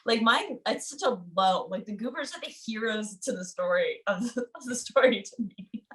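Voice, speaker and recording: A woman's voice: mid-range voice, lively intonation, normal speed. A woman co-hosting a casual literary discussion in an informal, slangy way.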